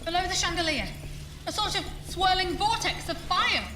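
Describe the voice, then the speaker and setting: Plucky incredulous woman sidekick voiced by an actress, very high bright voice, animated, audio drama, echoey. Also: moderate pace, music in the background. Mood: alert, defiant. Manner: incredulous, expressive. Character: bewildered, plucky woman companion to an alien adventurer. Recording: echoey room sound.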